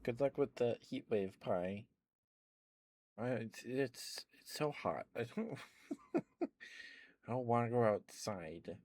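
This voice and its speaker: A young man, an ASMR streamer, rambling softly in casual chat with frequent chuckles. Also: medium pitch, big pitch swings, takes it slowly, long pauses, no echo. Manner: soft-spoken, rambling, chuckles often.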